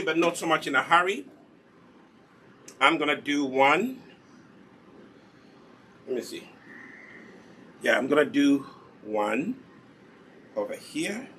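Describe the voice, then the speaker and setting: A man's voice: a little high, pushed and bright, slight background noise. A man from Uganda with a Ugandan accent, hosting a casual live hair care demonstration in an instructive way, repeating key points. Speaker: host, natural hair product seller and demonstrator.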